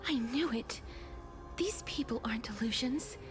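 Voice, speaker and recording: An actress playing a gentle, solemn young woman in a video game, soft and breathy with formal phrasing. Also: a little high, unhurried, over music, close to an everyday voice. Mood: pondering. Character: gentle, solemn young woman who eases souls into rest. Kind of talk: scripted game dialogue.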